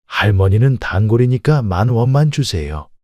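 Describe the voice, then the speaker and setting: Give me a man playing a friendly, inviting market seller with a low voice. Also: big pitch swings, normal speed, darkish tone, no echo, highs cut off.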